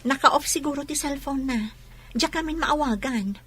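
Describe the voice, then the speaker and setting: High, bright, anxious wife. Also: female voice, normal speed. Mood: anxious, fretful. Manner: fretful, urgent. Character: anxious worried wife fretting over missing husband. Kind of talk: radio drama acting.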